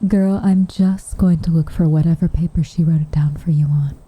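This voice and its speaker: A woman acts out a gentle witch with a wistful, guarded tenderness for an ASMR roleplay. Her voice is lowish, very mellow and breathy, often slipping into a whisper. It is recorded close and dry, with insects chirping behind her. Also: fairly even intonation, no echo, rough recording. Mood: gently teasing. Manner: soft, breathy, tender, measured delivery. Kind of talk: soft roleplay ASMR, intimate and half-whispered. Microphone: close microphone.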